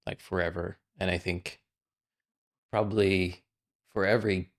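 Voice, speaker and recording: Man in his forties from Russia, gravelly voice, rambling podcast guest, lots of "like". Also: deep voice, fairly even intonation, measured pace. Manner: rambling, fills speech with frequent "like". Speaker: podcast guest, game programmer. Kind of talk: casual interview chat.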